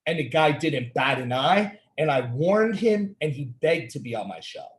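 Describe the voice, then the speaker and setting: A man's voice: a little high, lively intonation, moderate pace. A man with an American accent hosting a casual conversational interview, emphatic and given to repetition and rhetorical questions. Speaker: talk show host.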